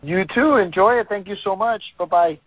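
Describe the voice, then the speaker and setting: An upbeat, energetic American man speaking conversationally as a guest in a radio interview. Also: high voice, moderate pace, no room sound, narrow, tinny audio. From Miami, United States. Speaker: entrepreneur and author, show guest.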